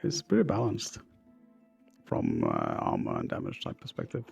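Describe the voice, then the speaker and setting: A man's voice: very deep voice, huge pitch swings, moderate pace, vocal fry, a little mellow, breathy edge, dull audio, faint music behind. A young man with a Norwegian accent co-casting an esports match, hesitant, with dry humor. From Norway. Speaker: esports co-caster. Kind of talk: casual esports commentary.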